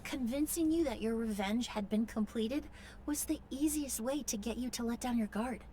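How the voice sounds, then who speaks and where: Actress as high-voiced, calculating young apprentice. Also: female voice, moderate pace, slight background noise, close to an everyday voice. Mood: cool, revealing. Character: calm, calculating young woman, an apprentice perfumer. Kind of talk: video game voice acting. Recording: loud music under the voice.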